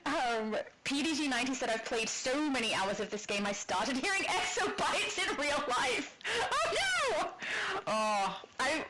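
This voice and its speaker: A woman co-hosting a casual livestream and reading chat comments aloud, chatty with frequent giggles and a high soprano voice. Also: sing-song, unhurried, poor audio. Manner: giggly, chatty, laughs often. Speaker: stream co-host.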